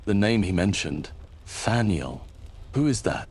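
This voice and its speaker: A man's voice: fairly low pitch, big pitch swings, unhurried, darkish tone, husky, heavily acted. An actor voicing a steadfast male adventurer in a fantasy game, direct, firm and full of questions. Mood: inquisitive. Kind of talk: video game voice acting.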